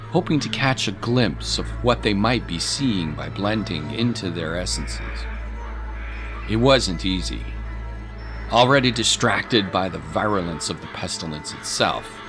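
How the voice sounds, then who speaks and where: A man narrates a dramatic tale in a fairly low voice. He is solemn and takes his time over each phrase, with music playing clearly behind him. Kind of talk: scripted dramatic storytelling narration.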